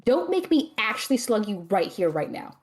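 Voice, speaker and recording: A woman voicing a blunt, sarcastic young magic student in an audio drama, often exasperated and deadpan. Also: mid-range voice, moderate pace, soft background music. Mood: dry annoyance. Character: sardonic, blunt young magic student, quick to threaten friends. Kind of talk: audio drama character banter.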